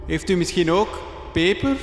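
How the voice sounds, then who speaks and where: A man's voice: high voice, expressive, normal speed. A man playing a timid, awkwardly polite dinner guest who hesitates and stammers, recorded in a reverberant space in a radio play. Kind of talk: gothic horror comedy radio drama. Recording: echoey reverberant hall, faint noise.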